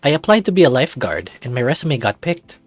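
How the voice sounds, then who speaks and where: A man acts out an eager young lifeguard from a visual novel. He is curious, friendly and a little unsure, at a medium pitch. The recording is dry. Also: sing-song, fast talker, breathy edge, dry recording.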